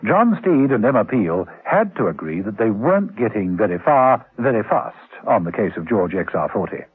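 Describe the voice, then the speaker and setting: A man's voice: fairly low pitch, big pitch swings, normal speed, husky. A man giving scripted, wry story narration for a radio drama serial. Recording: tinny vintage radio, music behind.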